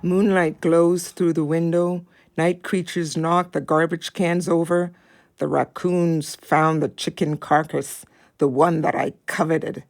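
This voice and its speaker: A faithful, watchful dog in an audio drama. The voice is very low and unhurried, measured in its narration and devoted to its owner. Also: female voice, little pitch movement, darkish tone. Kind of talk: audio play monologue.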